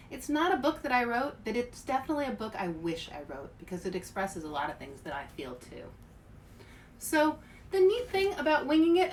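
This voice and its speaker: A woman hosting a children's read-aloud, expressive and speaking directly to young listeners. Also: on the high side, rough recording. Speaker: author and show host. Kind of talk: children's picture book read-aloud with casual asides. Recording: faint background noise.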